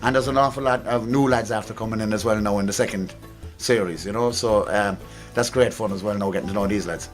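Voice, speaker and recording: A man's voice: lowish voice, quick pace, soft background music. A man with an Irish accent giving casual interview answers as an amateur drama actor.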